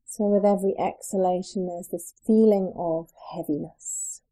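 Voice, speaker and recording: Mellow-voiced woman guiding a relaxation. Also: lowish voice, steady tone, low-quality recording. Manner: soothing, gentle, unhurried with pauses. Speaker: yoga teacher, meditation guide. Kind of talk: guided yoga nidra relaxation.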